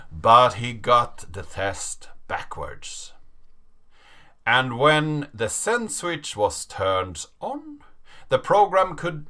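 A man in his thirties giving a dramatic reading of a story, measured and with deliberate pauses. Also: mid-range voice, fairly bright tone. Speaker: presenter reading a story aloud. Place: small indoor room, seated at a desk.

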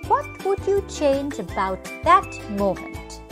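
High-pitched expressive woman storyteller for children. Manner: slow, expressive, measured for children. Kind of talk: scripted children's read-aloud and questions. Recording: music behind. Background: children's music.

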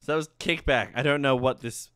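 A man's voice: medium pitch, fairly quick, slight background noise. A young man streaming a reaction, talking casually, exclaiming and laughing a lot as he comments on what he sees. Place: dim home streaming room with colored lights. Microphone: headset. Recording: loud music under the voice. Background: anime music playing.

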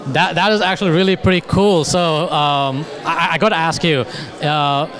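A man's voice: fairly high pitch, fairly even intonation, normal speed, fairly bright tone. A man in his forties hosting a conference interview, hyped up and stammering through repeated words, speaking into a handheld mic. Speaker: host. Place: conference hall, in front of a banner wall. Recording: busy conference crowd behind. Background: crowd chatter.

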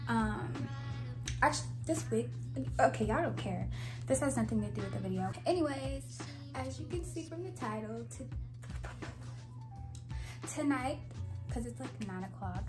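A young woman with a soft, slightly high voice talks casually to camera from her bedroom, wandering into chatty asides with little giggles. She speaks into a clip-on mic. Faint music plays underneath. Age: young adult. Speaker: vlogger. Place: bedroom, indoors. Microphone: clip-on mic after the first part.

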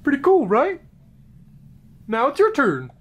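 A man's voice: extremely high pitch, wildly swooping pitch, a little slow, fairly bright tone, slight background noise, pitched above the performer's own voice, full character voice. A man voicing a pushy show-off unicorn in an improvised, absurd puppet comedy. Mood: eager, goading. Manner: goading, exaggerated.

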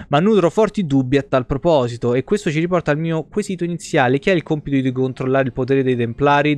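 A man, giving informal live game commentary and reading game text aloud on a close microphone. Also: medium pitch. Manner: informal, mild exclamations. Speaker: video game streamer. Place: small indoor streaming setup.

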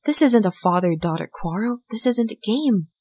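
Woman acting strict young game instructor, mid pitch, responsible, close dry recording. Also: animated, moderate pace, a little mellow, breathy edge, dry recording. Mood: stern, impatient. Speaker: fan dub voice actor. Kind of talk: video game fan dub.

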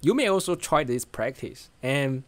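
Chinese accent guy in his 30s, mid pitch, talks slow then rushes, lots of uh and restarts, close mic in a small room. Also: lively intonation, dry recording. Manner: halting, many restarts and fillers. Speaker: vlogger. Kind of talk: casual English speaking practice. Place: small bright room indoors. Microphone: studio mic close in front.

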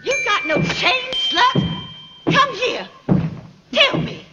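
A woman's voice: extremely high pitch, sing-song, slow, muffled recording, very noisy, heavily acted. An actress playing an older house servant, pleading anxiously with her master and turning harshly on a girl. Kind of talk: film acting. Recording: film sound with loud music.

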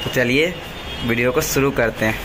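A man's voice: mid-range voice, normal speed. Young man, chatty travel vlogger, handheld phone, busy street din. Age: young adult. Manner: chatty, addresses viewers as guys. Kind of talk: casual travel vlog narration. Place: streets, hotel room and taxi in a city. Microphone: phone camera held at arm's length. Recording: loud traffic noise and crowd.

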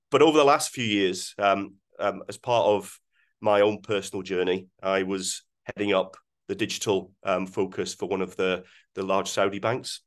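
A Scottish man in his forties presenting on a video call, speaking with many ums and restarts. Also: sits low. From Scotland. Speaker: webinar guest speaker. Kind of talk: presenting slides in a webinar.

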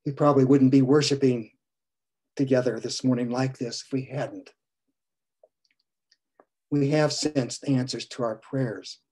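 A priest in his sixties preaching a gentle sermon from a home study over a video call, his phrases trailing off softly. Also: mid-range voice, fairly even intonation, measured pace, long pauses, slightly rough. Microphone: video call webcam.

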